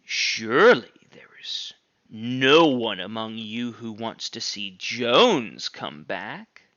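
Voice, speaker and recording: A man voicing a persuasive pig orator in a storybook, imploring and self-justifying in a measured speechmaking manner. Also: mid-range voice, very animated, fairly bright tone, dry recording, dull audio, voice pushed down. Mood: ominous, pleading. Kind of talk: storybook character reading.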